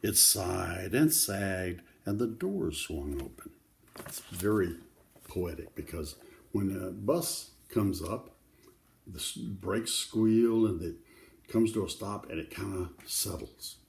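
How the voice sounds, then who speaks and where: Slow-talking older man reading a picture book. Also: low-pitched, lively intonation, creaky. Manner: folksy, explains pictures to young listeners. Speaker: children's book reader. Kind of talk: read-aloud storytelling with casual asides.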